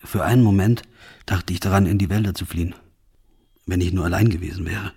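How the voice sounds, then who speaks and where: An actor playing a tormented, guilt-ridden soldier from a firing squad, giving a grave confessional monologue in a radio drama. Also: male voice, low voice, fairly even intonation, brisk, vocal fry, a little mellow, slightly rough, exaggerated voice. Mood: helpless, ashamed.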